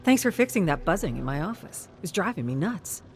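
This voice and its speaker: A narrator acting a male crew member in a sci-fi drama. He talks in a dry, controlled way at a middle pitch, with music playing behind him. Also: very animated, brisk. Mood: casual, friendly. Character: curt, dismissive male colleague in a space colony.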